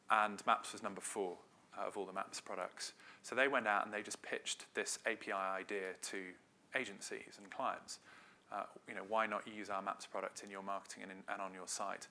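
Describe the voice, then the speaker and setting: Middle-aged man from Wales presenting at a conference; fairly low, steady voice with a bright, forceful edge; conversational and hedging. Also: a little hiss or hum. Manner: conversational, loose delivery with frequent hedges. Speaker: marketing director giving a talk.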